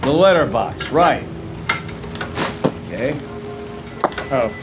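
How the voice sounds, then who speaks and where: An actor playing a friendly, bumbling neighborhood grocer in a radio drama, earnest and concerned, chatty but hesitant and stammering. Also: male voice, mid-range voice, big pitch swings, takes it slowly, loud music behind the voice.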